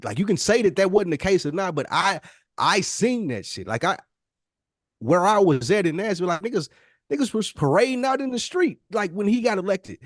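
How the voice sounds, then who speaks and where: A man's voice: sits high, lively intonation, a little fast, no echo, clean studio sound. A man hosting a podcast with casual commentary, blunt and slangy, repeating phrases for emphasis. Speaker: podcast host.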